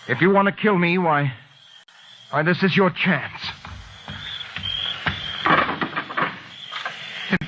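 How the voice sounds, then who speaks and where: An actor playing an anxious, paranoid husband in old-time radio drama, with a brooding, halting delivery that can turn frantic. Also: male voice, fairly high pitch, background noise. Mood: frightened. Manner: hushed brooding narration breaking into frantic, halting outbursts. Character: anxious, paranoid blind husband, demanding and fearful. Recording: muffled old radio broadcast, music behind.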